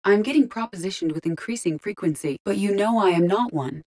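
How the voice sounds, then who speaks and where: A woman voicing a calm, curious, literal-minded female ship artificial intelligence in a video game, speaking formally and precisely. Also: mid-range voice, close to an everyday voice. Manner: measured, formal, precise diction. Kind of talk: scripted video game character dialogue.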